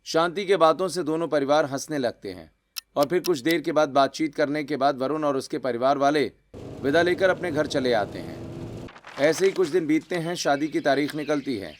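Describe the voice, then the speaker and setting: A man narrating a scripted family story for an animated video. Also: on the high side, normal speed, a little hiss or hum.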